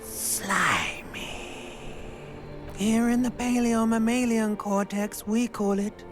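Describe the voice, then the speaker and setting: A woman's voice: on the high side, takes it slowly. A woman voicing an eerie voice of the limbic system in a game, sly, needling and theatrical, insinuating with knowing cruelty. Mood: sinister relish. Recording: music behind the voice.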